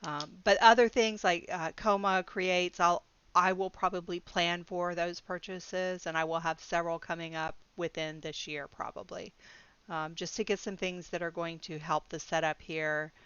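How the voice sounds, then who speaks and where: A woman's voice: on the low side, steady tone, moderate pace, fairly bright tone, clean tone, dry recording, muffled recording. A middle-aged woman vlogging casually about her budget, rambling a little with frequent ums and restarts and laughing easily. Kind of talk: casual talk to camera.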